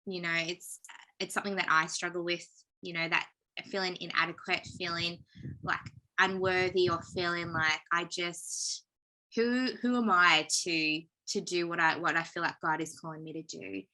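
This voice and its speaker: Host: reflective woman interviewer, lower pitch, bright, clear tone. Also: fairly even intonation, moderate pace, poor audio. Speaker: podcast host. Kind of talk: casual interview talk.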